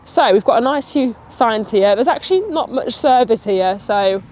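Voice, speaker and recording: A woman's voice: a little high, moderate pace, slight background noise. Bubbly Nottingham woman with a sniffly, nasal voice. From Nottingham, England. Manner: bubbly, excitable, chatty. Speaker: travel vlogger. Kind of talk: chatty walk-and-talk vlog. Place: outdoors on a countryside walk, rain.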